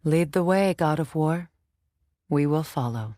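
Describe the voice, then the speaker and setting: A woman's voice: low voice, lively intonation, a little slow, dark tone, no room sound. A proud, middle-aged Norse goddess from a video game. She speaks as a battle companion with formal, grave diction. The recording is close and dry, with very little room sound. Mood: grave, measured.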